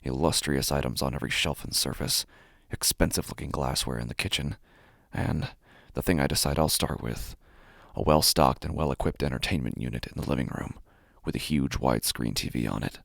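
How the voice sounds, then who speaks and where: Casting: male actor as a cold, violent burglar narrating horror audio drama; extremely low, heavy vocal fry, intense and deliberate. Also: fairly bright tone, no room sound, clean studio sound, exaggerated voice. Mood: hushed, calculating. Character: cold obsessive burglar turning savagely violent.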